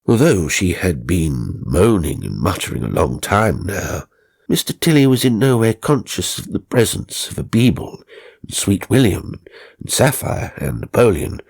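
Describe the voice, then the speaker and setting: A man narrating a scripted ghost story as an audiobook reader, in a theatrical, measured storytelling manner. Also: deep voice, big pitch swings, vocal fry, darkish tone, slightly rough.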